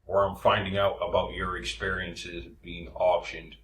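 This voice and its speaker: A man's voice: sits low, level tone, normal speed, slight background noise. A man trading casual banter as a comedy podcast co-host, with dry, teasing wisecracks. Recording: roomy, echoey podcast sound.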